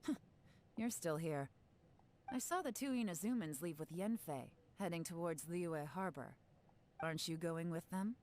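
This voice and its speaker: A woman's voice: fairly low pitch, animated, moderate pace, darkish tone, a little hiss or hum, natural voice. A woman voicing a sly, composed, knowing female intelligence agent in a video game. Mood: cool, knowing. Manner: composed, veiled menace, knowing.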